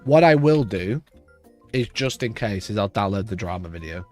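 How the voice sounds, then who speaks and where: A man with a rough, hoarse voice, chatting casually on a livestream and rambling with frequent restarts. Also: on the low side, lively intonation, moderate pace, darkish tone, faint music behind. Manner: rambling, restarts mid-sentence. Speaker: livestream host.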